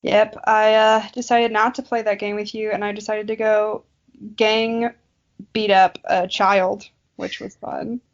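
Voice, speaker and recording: A woman co-hosting a podcast, chatting casually and telling anecdotes in an animated, joking, slangy way. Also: mid-range voice, measured pace, lo-fi sound. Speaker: podcast co-host. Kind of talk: casual chat.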